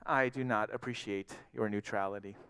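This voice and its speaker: A man's voice: fairly low pitch, fairly even intonation, normal speed, a bit bright, clear, resonant tone, pitched below the performer's own voice. A man voicing a small male mouse in a storybook tale, courteous, plaintive and aggrieved, with long hall reverb. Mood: muttering, aggrieved.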